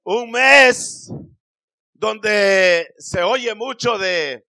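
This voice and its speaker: A middle-aged man preaching a sermon in a small church hall, speaking into a handheld microphone with emphatic, drawn-out phrases that rise to shouts. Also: high voice. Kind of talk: Spanish-language Pentecostal sermon.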